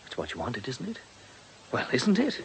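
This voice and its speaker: An actor playing a courteous gentleman confidant in a radio drama, polite, reasoning and gently coaxing. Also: mid-range voice, wildly swooping pitch, moderate pace, heavy background noise. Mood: gently persuasive.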